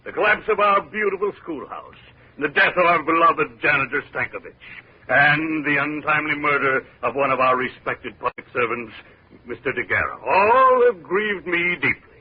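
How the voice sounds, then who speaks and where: An actor playing a gray-haired, pompous small-town mayor in 1950s radio drama, husky, hesitant and full of overblown rhetoric. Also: male voice, a little high, lively intonation, normal speed. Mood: solemn, pompous. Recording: dull old radio recording.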